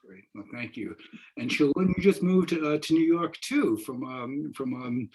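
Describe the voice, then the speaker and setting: A man's voice: medium pitch, moderate pace, a little mellow, husky. An older man moderating an online panel discussion from home, feeling his way through his questions with restarts. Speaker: moderator, professor. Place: wood-panelled room at home. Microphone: video call, laptop. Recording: dull video-call audio.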